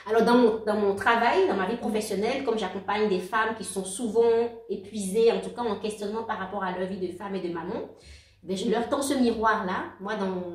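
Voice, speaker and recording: A woman in her forties speaking as a guest in a casual interview, warm and halting, often restarting and laughing softly. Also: mid-range voice. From outside Belgium. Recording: roomy, distant mic.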